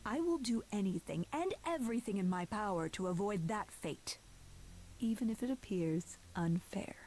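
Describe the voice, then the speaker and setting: A woman's voice: mid-range voice, animated, darkish tone, lightly acted. Woman acting a solemn, stern goddess in command. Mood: solemn, urgent. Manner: measured, formal, deliberate. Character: solemn, stern, weary goddess who leads the gods. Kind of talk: video game voice acting.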